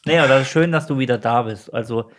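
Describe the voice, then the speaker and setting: A man with a medium-pitched voice co-hosts a casual podcast. He talks in a flippant, jokey way, often tossing in short interjections. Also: fast talker, a bit bright. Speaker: podcast co-host. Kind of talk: casual banter.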